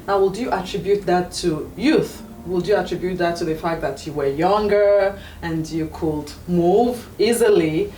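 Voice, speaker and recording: A woman hosting an interview show, welcoming her guest formally and posing long, drawn-out questions. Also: on the low side, mellow, far from the mic, a little hiss or hum. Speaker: talk show host. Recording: echoey room with faint music, away from the mic.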